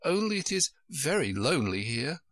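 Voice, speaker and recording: A man voicing a polite seven-year-old girl in a storybook, with a gentle, plaintive manner. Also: mid-range voice, big pitch swings, unhurried, vocal fry, breathy edge, no room sound, studio quality, full character voice. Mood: melancholy. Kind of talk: storybook character reading.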